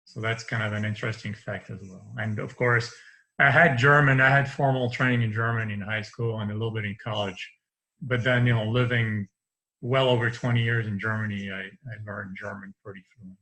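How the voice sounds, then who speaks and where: A man's voice: fairly low pitch, fairly even intonation, brisk, sharp tone, low-quality recording. A man in his fifties with a light French accent, French his first language, talking casually as a guest on a video call from a home study, rambling with restarts and light laughs. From France. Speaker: interview guest. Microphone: video call webcam.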